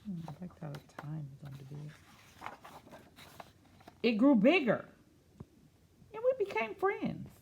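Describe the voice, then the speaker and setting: A woman reading a picture book aloud expressively, slipping into quieter, musing, conversational asides. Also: medium pitch, wildly swooping pitch, a little slow, pauses often, slight background noise. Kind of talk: expressive read-aloud with soft, chatty asides.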